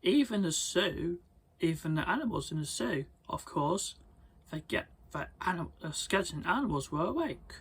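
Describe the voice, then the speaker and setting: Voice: medium pitch, animated, normal speed, husky. A person reading a children's story aloud, often stumbling over words and repeating them. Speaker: storybook reader.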